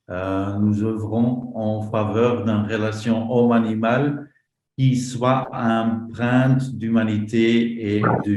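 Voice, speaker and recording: Flemish man presenting his group at a conference; slow, halting delivery in a roomy hall. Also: medium pitch, warm tone. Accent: Flemish Dutch. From northern Belgium. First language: Dutch. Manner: halting, many fillers and restarts. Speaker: association representative. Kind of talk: conference talk.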